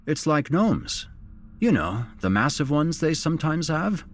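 A man's voice: fairly high pitch, big pitch swings, unhurried, voice pushed up. A man voicing a jovial, teasing police officer on a night call, in audiobook dialogue with a blasé, joking manner. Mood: amused.

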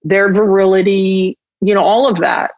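A woman hosting a podcast interview, talking casually and haltingly, often interrupting herself, with an encouraging manner. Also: medium pitch, fairly even intonation, no room sound. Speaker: podcast host. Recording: dry, slightly dull lo-fi sound.

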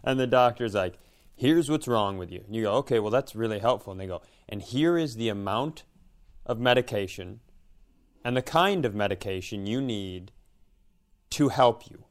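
A man's voice: on the low side, expressive, normal speed. An American man talking casually and jokingly as a co-host on a comedy podcast.

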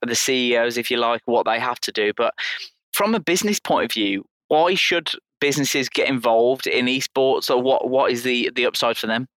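A man's voice: mid-range voice, moderate pace, a bit bright, no room sound. Man hosting, close dry sound. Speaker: podcast host and interviewer. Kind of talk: scripted introduction and conversational interviewing.

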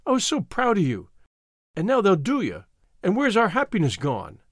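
A man's voice: high voice, wildly swooping pitch, moderate pace, dry recording, clean studio sound, pitched above the performer's own voice, heavily acted. A man voicing an anguished, devoted young woman in a storybook, pleading and emotional.

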